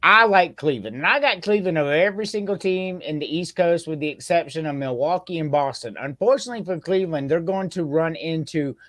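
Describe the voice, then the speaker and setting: A man's voice: fairly high pitch, quick pace, few pauses, a bit bright, dry recording, clean studio sound. A man from the American South, hosting a casual sports podcast and rambling easily with lots of hedges and fillers. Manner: rambling, hedging, easygoing. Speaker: podcast host.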